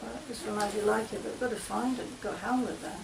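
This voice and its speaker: A woman's voice: fairly high pitch, steady tone, fast talker, creaky. A woman in a casual discussion who chats readily and reads passages aloud. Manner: chatty, reads verse aloud. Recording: echoey room with noise.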